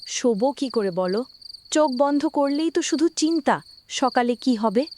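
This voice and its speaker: A woman's voice: high-pitched, lively intonation, moderate pace, darkish tone, a little hiss or hum. A woman voicing a worried, scolding village wife and mother in a cartoon, pleading and complaining over hardship. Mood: anxious pleading. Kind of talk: dramatic cartoon voice acting.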